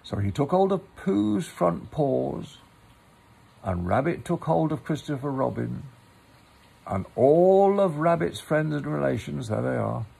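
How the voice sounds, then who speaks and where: A man reading a children's story aloud in a measured way with pauses and informal asides. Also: on the high side, sing-song, vocal fry, dark tone, breathy edge. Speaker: storybook reader.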